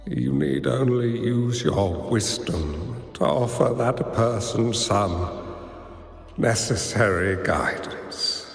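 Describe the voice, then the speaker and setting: Grave, slow-spoken wise master, gravelly. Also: male voice, mid-range voice, exaggerated voice. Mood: solemn, reassuring. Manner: measured, grave, formal teaching delivery. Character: wise, solemn male master mentoring his young pupil. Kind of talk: dramatic video game voice acting. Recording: echoey, long reverb, music underneath.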